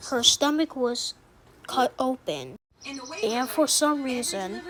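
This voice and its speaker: A woman's voice: sits high, poor audio. A woman playing a grave president who reads emergency national alert updates in formal announcer phrasing. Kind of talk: scripted emergency alert narration. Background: loud music.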